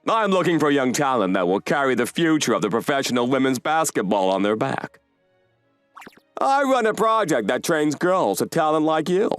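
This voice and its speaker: An earnest, animated basketball coach. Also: male voice, a little high, moderate pace, smooth, dry recording, faint music behind. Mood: earnest, persuasive. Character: earnest male international pro basketball coach, encouraging.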